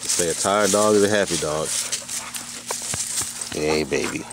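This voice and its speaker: A man's voice: low voice, a little slow. A man of about forty, rambling casually in a folksy, colloquial way as he vlogs outdoors. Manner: folksy, colloquial, drifting into asides to his dogs. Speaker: vlogger. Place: outdoors on a hike. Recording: noisy outdoor ambience.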